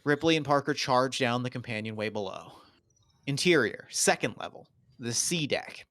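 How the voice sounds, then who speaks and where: Guy narrating script directions at a table read, mid pitch, very little room sound. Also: lively intonation, moderate pace, fairly bright tone, dry recording. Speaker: narrator reading stage directions.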